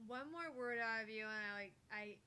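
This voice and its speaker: A woman voicing a sharp-tongued, sceptical teenage girl scientist in a visual novel read-aloud. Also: on the high side, a little fast, a little hiss or hum. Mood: annoyed, threatening.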